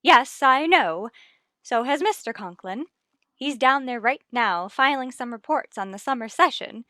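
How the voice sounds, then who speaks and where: A woman's voice: sits high, expressive, a little slow, bright, forceful tone, no echo, clean studio sound, heavily acted. An actress playing a gracious, sociable middle-aged wife and mother in a 1950s radio sitcom, speaking in a genteel manner. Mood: gracious, chatty.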